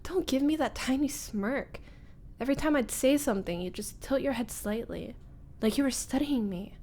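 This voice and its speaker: A woman playing a teasing young former school bully turned nervous roommate, in an intimate ASMR roleplay with halting, breathy delivery that drops into whispers. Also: high-pitched, mellow, a little hiss or hum. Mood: exasperated, needling.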